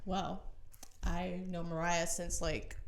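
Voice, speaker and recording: A woman's voice: on the low side, level tone, slow. A woman in her late twenties from Florida, co-hosting a casual podcast with blunt, dry quips. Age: 20s. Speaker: podcast co-host. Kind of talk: casual chat.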